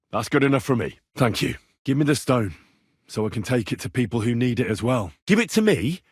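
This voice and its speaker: An earnest young man of the Middle Ages for a video game. His pitch moves around a lot in a middle register, with a slightly husky edge. He is plain-spoken and stubborn, always trying to persuade, and recorded very dry. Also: dry recording. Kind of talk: acted video game dialogue lines.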